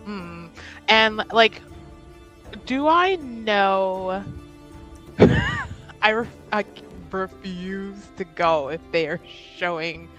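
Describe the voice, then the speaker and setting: A woman's voice: medium pitch, lively intonation, extremely slow, a bit bright, soft background music. A woman playing a tabletop roleplaying game, describing her character casually in a hesitant, giggly way.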